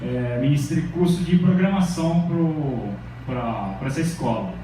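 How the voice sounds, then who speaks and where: Middle-aged brazilian guy lecturing, chatty, keeps checking in w the crowd, mellow voice, heard from far off. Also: on the high side, measured pace, slight background noise. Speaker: university professor giving a lecture. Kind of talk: informal lecture to students. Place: large echoey lecture hall. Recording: very echoey hall from far off.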